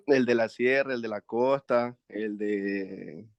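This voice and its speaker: A man's voice: mid-range voice, slow pace, gravelly, no room sound, lo-fi sound. A young man, a student, giving short, polite answers to his teacher over a video call.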